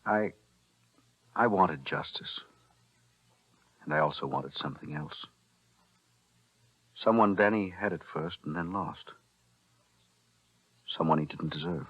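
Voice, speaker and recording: An actor playing a sober, sincere lawyer in love in a 1940s radio thriller. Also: male voice, very deep voice, a little slow, pauses often, husky, no echo, heavily acted. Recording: muffled old radio broadcast.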